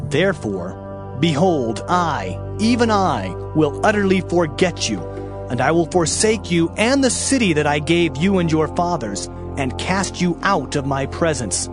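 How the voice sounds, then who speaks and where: A man with a fairly high, very animated voice reads scripture as a dramatized audio Bible. His delivery is solemn, declamatory and emphatic, with music clearly playing behind him. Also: measured pace. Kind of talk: dramatized King James scripture reading. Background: background music.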